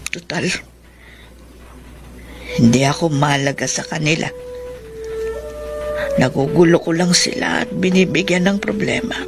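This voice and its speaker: Plaintive elderly aunt over music. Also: female voice, sits low, fairly even intonation, unhurried, gravelly, pauses often. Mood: bitter, sad. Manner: plaintive, self-pitying. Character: hurt, stubborn elderly aunt hiding at a senior center. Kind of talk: Filipino radio drama acting.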